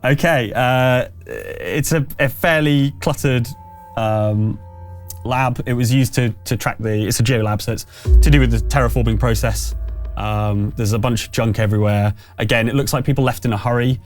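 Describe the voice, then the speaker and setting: Male game master, mid-pitched, improvised conversational scene-setting with halting delivery, faint music bed. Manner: halting, conversational, pieced-together descriptions. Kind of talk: improvised narration of a tabletop horror game.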